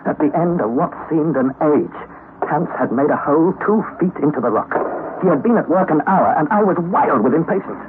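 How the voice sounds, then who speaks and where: A man's voice: fairly high pitch, animated, a little fast, close to an everyday voice. A man as an earnest young explorer narrating. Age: young adult. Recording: tinny old radio broadcast, faint hiss.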